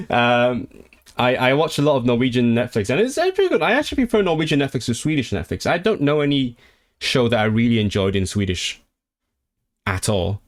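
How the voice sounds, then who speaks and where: A man at a home streaming desk, chatting to his viewers in a loose, rambling way. He keeps restarting his sentences and filling pauses, and he sounds eager about his subject. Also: mid-range voice. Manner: rambling, many restarts and fillers; enthusiastic about languages. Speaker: language livestreamer. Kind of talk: casual livestream chat, reading viewer comments.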